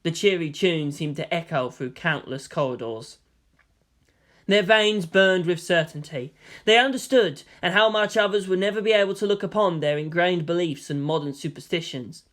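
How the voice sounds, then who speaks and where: A man reading a story aloud as a narrator, with expressive, deliberate phrasing and a dramatic scripted style. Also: on the high side. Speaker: fan fiction narrator.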